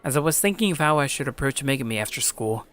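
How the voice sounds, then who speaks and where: A man playing a troubled, earnest high school boy who narrates his own story in a sincere, brooding way. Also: expressive, fast talker, a bit bright, close to an everyday voice. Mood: introspective. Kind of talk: scripted dramatic narration and character dialogue.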